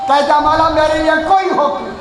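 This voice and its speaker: High-pitched wailing man in an echoey hall. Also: steady tone, crowd noise, background noise. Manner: wailing, shouting, chant-like lament. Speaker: religious mourning preacher. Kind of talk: impassioned mourning sermon recitation.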